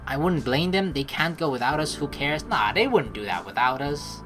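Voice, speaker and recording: Playful lower-voiced young woman, lively delivery. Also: normal speed. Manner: playful, slangy, teasing. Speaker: let's play host. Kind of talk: casual game commentary mixed with reading narration aloud. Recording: faint game music behind the voice.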